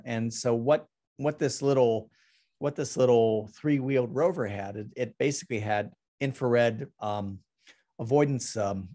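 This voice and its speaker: Halting middle-aged man on a video call. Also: medium pitch, darkish tone. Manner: halting, many ums and restarts. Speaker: artist presenting his work. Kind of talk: casual talk over a slide presentation.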